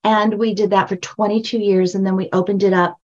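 An older woman with a high voice, a guest on a podcast interview, telling stories with emphatic repetition. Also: level tone, fairly quick, dull audio. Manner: emphatic, storytelling, repeats phrases for stress. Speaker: guest, brand strategist and book coach.

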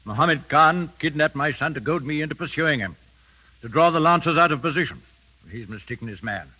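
A stern older colonel, old radio. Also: male voice, fairly high pitch, a little fast, pushed and bright. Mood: stern, resolute. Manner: clipped, formal, commanding. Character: stern, duty-bound British colonel, father hiding his feelings. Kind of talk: 1930s radio drama acting. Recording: dull vintage 1930s radio broadcast.

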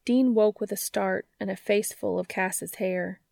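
A woman's voice: medium pitch, fairly even intonation, a little slow, vocal fry, darkish tone, no room sound. A woman narrating scripted fiction as an audiobook reader.

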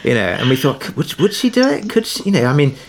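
A man's voice: on the high side, big pitch swings, fast, vocal fry, noisy background. A man talking casually as an interview guest, telling production anecdotes in a conversational way and thinking aloud as he goes.

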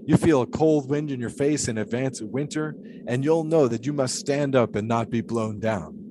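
A male actor plays an eccentric 1920s flagpole sitter in an audio drama, a folksy man who loves to philosophize. He speaks at a middle pitch in long, pious musings full of old-time idiom. Background ambience sits clearly behind him. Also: moderate pace, noisy background, lightly acted. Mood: preachy, musing.

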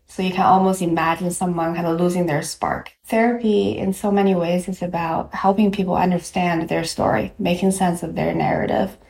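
A woman psychologist, whose first language is Mandarin Chinese, explains her views in a measured way as an interview guest. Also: lowish voice, gravelly, darkish tone, roomy sound, low-quality recording. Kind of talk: interview answers.

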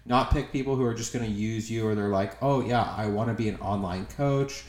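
A young man with a somewhat low voice, co-hosting a podcast in a blunt, upbeat way and swearing for emphasis. The recording sounds echoey, as if made in a roomy space. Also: a little fast, a little mellow. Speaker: podcast co-host. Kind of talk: casual podcast chat.

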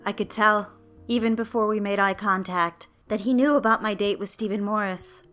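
A woman's voice: mid-range voice, steady tone, moderate pace, fairly bright tone, natural voice. A woman playing a yearning secretary, confiding her diary in scripted first-person narration. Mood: wistful. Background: music.

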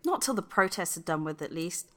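A woman playing a brisk, grumbling friend in casual radio play dialogue. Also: lowish voice, lively intonation, fairly bright tone.

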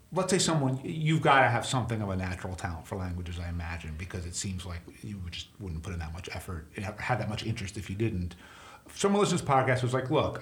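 A man hosting a podcast, asking questions in a chatty, rambling way. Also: medium pitch. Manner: chatty, rambling, with many fillers. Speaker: podcast host. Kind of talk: casual podcast interview. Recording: roomy echo.

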